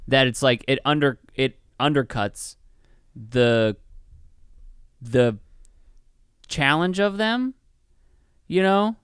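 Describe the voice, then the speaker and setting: A man's voice: medium pitch, expressive, takes it slowly, pauses often, fairly bright tone, clear, resonant tone, no room sound, studio quality. A man co-hosting a podcast in casual movie-review chat, slangy and profane, often riffing in mock quotes. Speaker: podcast co-host.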